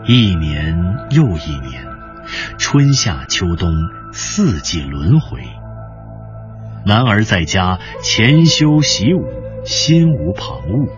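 A man reads dramatic narration like a solemn storyteller. His delivery is slow and measured yet widely inflected, over clear background music, in a slightly muffled recording. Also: sits low, sing-song. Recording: muffled lo-fi, music bed behind.

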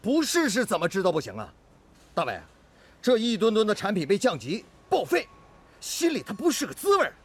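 A determined master technician in a radio drama. His voice is husky and fairly high, with lively swings. He speaks as a stubborn, inventive mentor of the factory floor. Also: brisk, heavily acted. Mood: earnest, insistent. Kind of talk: Chinese radio drama acting.

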